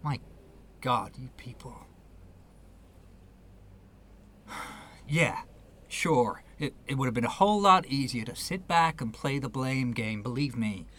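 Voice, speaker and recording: Man acting earnest, bright-voiced New man. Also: medium pitch, lively intonation, unhurried, slight background noise. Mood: indignant. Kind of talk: radio play acting.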